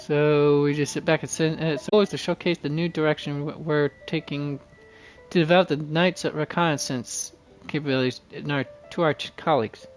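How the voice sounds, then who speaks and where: A man's voice: on the high side, fairly even intonation, fairly quick, low-quality recording. A man hosting a game livestream, reading dialogue aloud and commenting casually, often stumbling and correcting himself. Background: game music.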